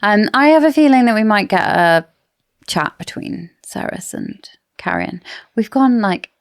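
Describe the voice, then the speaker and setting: A woman's voice: medium pitch, expressive, a little slow, creaky, clear, resonant tone, dry recording, clean studio sound. A woman co-hosting a podcast, chatting casually and informally about books. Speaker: podcast co-host. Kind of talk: casual book discussion chat.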